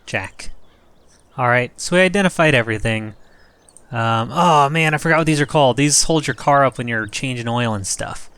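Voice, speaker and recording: A young man hosting a farming game let's play, slightly hoarse and rough-voiced, rambling and joking at himself as he thinks aloud. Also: mid-range voice, moderate pace, a bit bright, no echo. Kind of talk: casual gameplay commentary.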